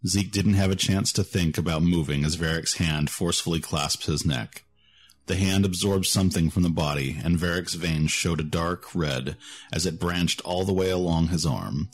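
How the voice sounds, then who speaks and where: A man's voice: deep voice, normal speed, slight background noise. A man narrating a scripted fantasy story as the narrator of an audio drama. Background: music.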